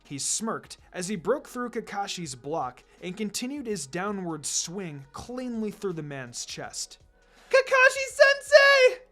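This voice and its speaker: A man's voice: high voice, very animated, unhurried, hardly any pauses, soft background music. A man reading an adventure story aloud as narrator, in a dramatic scripted delivery. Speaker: narrator, fan fiction reader.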